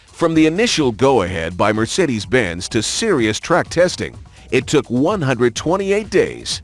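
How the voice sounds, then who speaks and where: Lively male narrator over music. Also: mid-range voice. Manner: polished, measured announcer delivery. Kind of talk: scripted documentary-style narration for a racing game. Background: background music.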